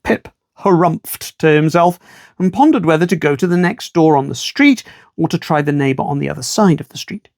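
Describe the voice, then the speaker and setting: A man reading a children's story aloud in an expressive storytime style, with wry asides to the listener. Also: a little high, normal speed, dry recording, clean studio sound.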